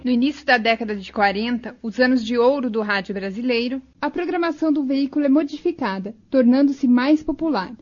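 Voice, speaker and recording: Radio documentary narrator, female, Brazilian, high voice, slightly dull recording. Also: fairly quick, hardly any pauses, faint music behind.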